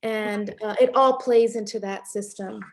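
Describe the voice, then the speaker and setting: A middle-aged woman filmmaker answering interview questions in a reflective way, pausing often with fillers and restarts. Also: mid-range voice, fairly even intonation. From Panamanian and Haitian family background. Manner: thoughtful, many fillers and restarts, occasional laughs. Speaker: film director being interviewed.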